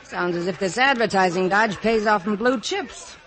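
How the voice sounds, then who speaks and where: An actress playing a brash, scheming young New York Cinderella, slangy and wisecracking, in 1950s radio comedy style. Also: female voice, medium pitch, moderate pace, close to an everyday voice. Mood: breezy, brassy. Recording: vintage radio recording, loud music behind. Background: music.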